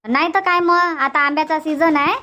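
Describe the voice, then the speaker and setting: A woman's voice: sits high, steady tone, a little fast, sharp tone. A woman voicing a hospitable, bossy village grandmother in a cartoon comedy, chatty and insistent, with a Varhadi Marathi accent. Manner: chatty, insistent, repeats herself.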